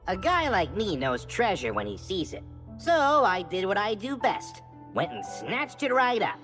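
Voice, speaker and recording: A woman's voice: mid-range voice, wildly swooping pitch, unhurried, a bit bright, faint music behind. A woman voicing a small, boastful but good-hearted male wanderer in a game, talking in a casual, folksy swagger. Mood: boastful storytelling. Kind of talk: video game cutscene acting.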